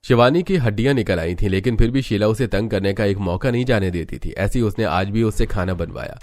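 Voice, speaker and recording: A man with a fairly low voice narrates a storybook tale. He reads from a script as the storyteller of a cartoon. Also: brisk, a little mellow, no echo.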